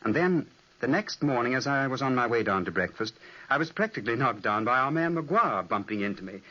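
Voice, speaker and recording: Bright teasing manor host. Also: male voice, mid-range voice, sing-song, brisk. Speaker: brother and narrator. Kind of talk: 1940s radio acting. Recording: lo-fi old radio broadcast.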